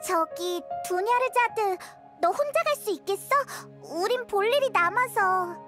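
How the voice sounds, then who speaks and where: A woman voicing a small, excitable childlike fairy companion with an eager, chirpy manner in a video game. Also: very high-pitched, a little slow. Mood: concerned. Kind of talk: video game character dialogue. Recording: music behind the voice. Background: game music.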